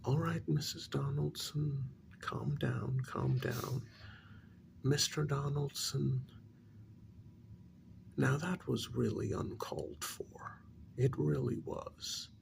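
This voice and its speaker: A man playing a patient middle-aged couples therapist in a soft ASMR role-play, speaking low, often near a whisper, in a measured, halting, placating way. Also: very animated, vocal fry, full character voice. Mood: placating, firm.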